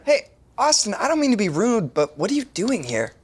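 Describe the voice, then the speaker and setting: An actor playing an awkward, excitable single young man in an audio sitcom. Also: high-pitched, brisk, slightly rough, heavily acted. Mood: puzzled.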